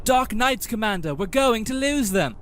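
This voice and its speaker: A man's voice: expressive, normal speed, slight background noise. A man voicing a hot-headed, impatient dragonrider lieutenant in a fantasy audio story, calling out urgently in a raised voice. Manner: urgent, shouted high voice. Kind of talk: fantasy audio drama character.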